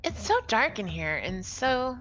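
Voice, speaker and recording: A woman's voice: a little high, very animated, a little slow, fairly bright tone. A woman co-playing on a livestream, chatting casually and reading game dialogue aloud. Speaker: livestream co-player. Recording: music behind the voice.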